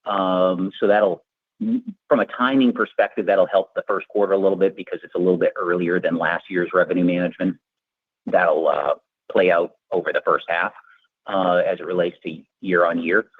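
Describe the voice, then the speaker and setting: A male executive giving unscripted, measured explanations on an earnings call, with many asides. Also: low-pitched, fairly even intonation, breathy edge, no room sound. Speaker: company finance executive answering analysts. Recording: narrow tinny conference phone line.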